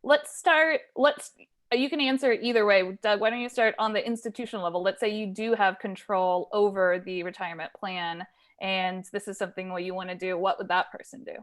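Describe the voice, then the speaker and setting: A woman with a mid-pitched voice moderates a webinar panel. She is polite and brisk as she brings in speakers and poses questions. It sounds like a video call. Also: fairly even intonation.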